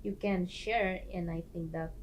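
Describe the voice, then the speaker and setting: A woman's voice: fairly low pitch, unhurried, slight background noise. Woman hosting a chat. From Guatemala. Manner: animated, laughs often, searches for words. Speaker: host. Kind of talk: casual discussion.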